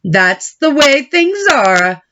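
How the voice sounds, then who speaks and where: A woman's voice: sits high, big pitch swings, slow pace, pushed and bright, slight background noise, pitched above the performer's own voice, exaggerated voice. A woman voicing a bossy baker father in a picture book, giving emphatic instructions. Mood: bossy, dismissive. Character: bossy, nonchalant baker father, a grown man. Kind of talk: picture book read-aloud character voice.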